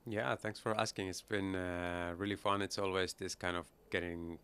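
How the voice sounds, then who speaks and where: A middle-aged man from Finland, a startup founder talking casually as an interview guest at a conference, relaxed and easygoing. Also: low voice, moderate pace, clear, resonant tone. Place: busy conference hall.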